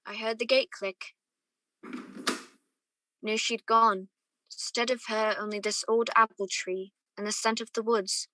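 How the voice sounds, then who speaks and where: A wistful young gentleman played by a woman tells his love story in a radio play. The voice is bright and forward, recorded close and dry. He sounds earnest and torn. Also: fairly high pitch, steady tone, measured pace, pauses often, dry recording, low-quality recording. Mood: wistful narration. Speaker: student or guest actor in a radio play. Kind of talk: radio play acting with first-person narration.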